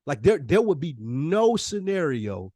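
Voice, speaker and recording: A man's voice: on the high side, big pitch swings, a little slow, dark tone, dry recording, studio quality. An American man co-hosting a podcast in casual, slangy, emphatic talk, often acting out imagined dialogue. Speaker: podcast co-host.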